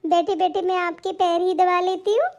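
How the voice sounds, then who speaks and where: Woman voicing a very high, dutiful young daughter-in-law. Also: steady tone, normal speed. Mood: sweet, caring. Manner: deferential, earnest. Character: dutiful, sweet young Indian daughter-in-law, eager to please. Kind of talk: melodramatic family cartoon dialogue.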